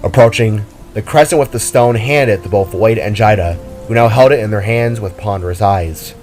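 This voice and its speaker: Low-voiced man narrating over music and rain. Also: moderate pace, a little mellow. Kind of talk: scripted dramatic story narration. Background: music, rain and thunder.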